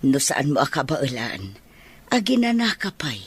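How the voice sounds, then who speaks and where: A woman playing a worried, fussing older mother and grandmother with a husky voice in a radio drama. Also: very deep voice, very animated, measured pace, exaggerated voice. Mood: concerned.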